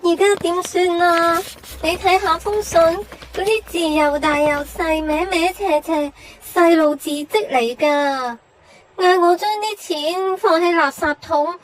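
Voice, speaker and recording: An actress playing a distraught, pleading wife in a radio drama, with a Hong Kong accent. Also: female voice, extremely high pitch, steady tone, slow pace, low-quality recording. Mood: worried, tearful. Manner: anxious, pleading. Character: distraught wife of a kidnapped man. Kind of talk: Cantonese radio drama acting.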